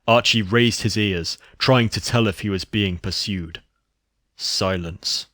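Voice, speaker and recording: A man narrates a dark horror tale as a scripted reading. His voice sits fairly low, and the sound is dry with no room around it. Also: no echo.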